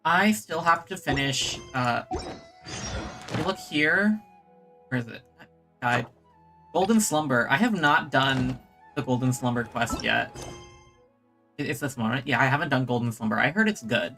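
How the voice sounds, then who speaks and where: Lively game streamer with swooping intonation. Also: mid-range voice, measured pace, long pauses, faint music behind. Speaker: streamer and host. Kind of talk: casual livestream gaming chat.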